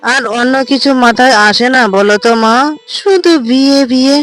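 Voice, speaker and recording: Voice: expressive, moderate pace, fairly bright tone, soft background music. A voice actor playing a dutiful young unmarried village woman in a cartoon, earnest and pleading. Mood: insistent. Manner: earnest, pleading, somewhat shrill. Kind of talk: cartoon voice acting.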